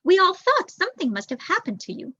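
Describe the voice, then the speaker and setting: A woman's voice: extremely high pitch, wildly swooping pitch, a little fast, pushed and bright, no echo, dull audio, voice pushed up, full character voice. A woman voicing a chatty, concerned lady mouse neighbour in a storybook, persistent and exclaiming.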